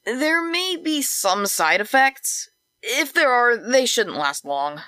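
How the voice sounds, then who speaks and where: A woman's voice: a little high, big pitch swings, takes it slowly, pushed and bright, clean tone, no room sound, clean studio sound, full character voice. A woman voicing a small, wary, sensible talking tree in a fantasy audio drama, hesitant and disapproving. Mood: hesitant, dry.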